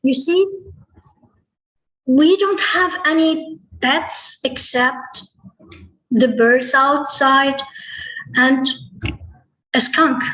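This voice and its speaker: A woman telling a tall tale live, with deliberate, dramatic phrasing, pauses and repetition. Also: high-pitched, a bit bright. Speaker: storyteller. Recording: roomy, echoey, slightly muffled online-call audio.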